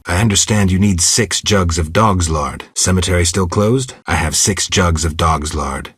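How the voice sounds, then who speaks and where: An actor playing a gruff, stoic monster hunter in a video game, with a low, gravelly voice and a terse, deadpan delivery. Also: male voice, no room sound, clean studio sound. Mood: terse, businesslike. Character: gruff, stoic male monster hunter, not entirely human. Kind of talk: video game dialogue acting.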